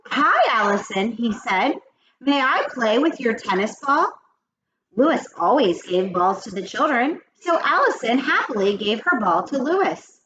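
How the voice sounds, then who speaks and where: A woman's voice: on the high side, fairly bright tone. A woman reading a children's story aloud expressively, addressing young listeners. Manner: addresses young children, explaining words and asking questions. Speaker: read-aloud host. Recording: muffled lo-fi with faint noise.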